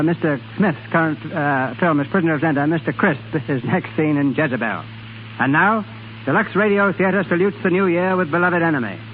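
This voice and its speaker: A man's voice: fairly high pitch, narrow, tinny audio, a little hiss or hum. A man hosting and narrating a 1930s radio theatre programme in scripted, period style. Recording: thin 1930s radio broadcast, faint music.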